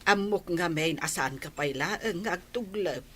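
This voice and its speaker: A woman voicing an older, devout grandmother who gives her daughter patient advice, with a husky voice. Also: on the low side, animated, measured pace, a bit bright, slight background noise. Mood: soothing.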